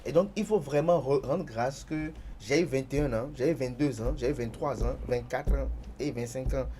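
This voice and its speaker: A man's voice: medium pitch, moderate pace, dark tone. A man on a studio panel, talking insistently and repeating words as he cuts in. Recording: faint background noise.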